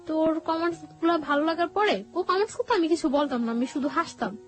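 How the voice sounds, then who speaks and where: High-pitched Bangladeshi woman telling her story. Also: fast. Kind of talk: personal storytelling in conversational talk. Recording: music bed behind the voice.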